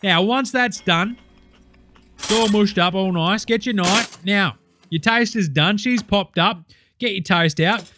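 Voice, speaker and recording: A man's voice: high-pitched, measured pace, bright, forceful tone, a little hiss or hum. A man playing a brash, crude male TV cooking host with an Australian accent, full of slang and swearing as he talks to a studio audience. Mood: instructive. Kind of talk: comic sketch, cooking show parody.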